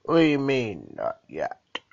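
Man as a probing, wry guest; slow and bright, lo-fi dry audio. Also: medium pitch, wildly swooping pitch, vocal fry, no room sound, heavily acted.

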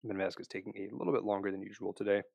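A young man hosting a screen-recorded crypto tutorial, explaining each step in a relaxed way with frequent "uh"s and small restarts. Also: fairly low pitch, racing, creaky, no room sound.

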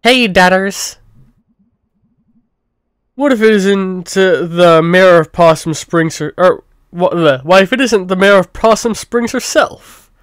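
A man voicing a kindly middle-aged father cat in a game playthrough, with gentle teasing. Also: high voice, slow pace, smooth, no room sound, studio quality. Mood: fatherly.

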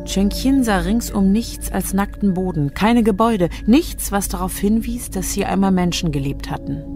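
A woman's voice: mid-range voice, moderate pace. A woman narrating a radio drama from a script. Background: music.

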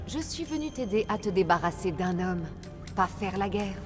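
A woman's voice: mid-range voice, expressive, normal speed, lightly acted. A woman voicing a tough mercenary warrior in a video game, cool, dry and self-assured. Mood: cool, sceptical. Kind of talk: video game voice acting in French. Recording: game dialogue over loud music.

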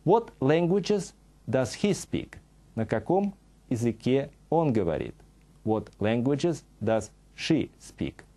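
A middle-aged man teaching on a TV studio set, reading a scripted lesson and saying example sentences slowly and carefully. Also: medium pitch, sing-song, dark tone. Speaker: English teacher.

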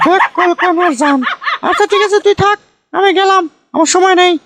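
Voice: very high-pitched, a little fast, raised voice, very noisy. A performer voicing a bossy, foolish middle-aged village aunt in a comic cartoon, folksy and repetitive, with a northern Bangladeshi Bogra accent. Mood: scolding. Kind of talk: comic cartoon dialogue, rambling storytelling.

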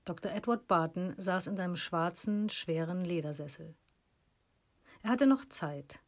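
A woman reading scripted narration in a calm, soft way. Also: on the low side, unhurried.

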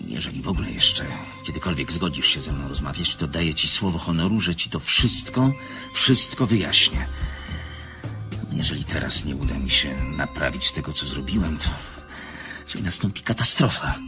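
A man's voice: very deep voice, expressive, normal speed, music in the background. An actor playing a secretive, courteous man in a radio play, with a fine announcer's voice and an earnest, formal, hesitant manner. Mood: distraught, apologetic. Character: secretive man, courteous, evasive, apologetic, guarded about his work.

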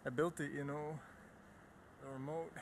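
An actor playing an eccentric, broody middle-aged man in a video game, earnest and insistent, muttering in halting phrases. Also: a little high, flat delivery, noisy background, natural voice. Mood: reluctant. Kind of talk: video game cutscene acting.

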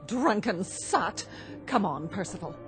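A woman's voice: medium pitch, animated, slow pace, soft background music. Haughty, swooping-voiced mother. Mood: indignant. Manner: scolding, emphatic. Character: indignant, haughty, protective mother of a small boy. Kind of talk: radio drama acting.

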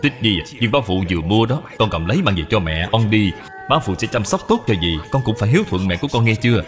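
A man's voice: lowish voice, very animated, fast. A man voicing a kindly, courteous middle-aged general in a cartoon, speaking earnestly. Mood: generous. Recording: long reverb, background music.

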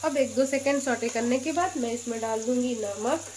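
A woman's voice: on the high side, normal speed, a little mellow, a little hiss or hum. A woman hosting her own cooking channel, talking through each step of a recipe in a chatty, patient way from her home kitchen. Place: home kitchen by a gas stove.

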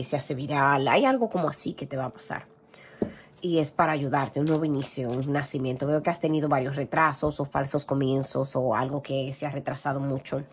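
Hoarse woman reading tarot cards. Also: low voice, slight background noise. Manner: gentle, clears throat often, many fillers. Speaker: tarot reader. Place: indoor room with a tarot table. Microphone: camera over table, hands seen.

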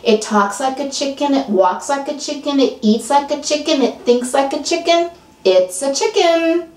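A woman storyteller voicing a stubborn, matter-of-fact farmer who keeps repeating himself flatly. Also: very high-pitched, normal speed, far from the mic, pitched above the performer's own voice. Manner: flat, insistent repetition. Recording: roomy, from off mic.

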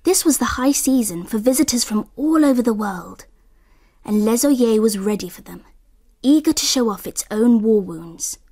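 Breathy, hushed woman narrator. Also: on the high side, lively intonation, normal speed. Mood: reflective. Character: woman recalling her girlhood summer in France, reflective. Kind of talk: radio drama narration.

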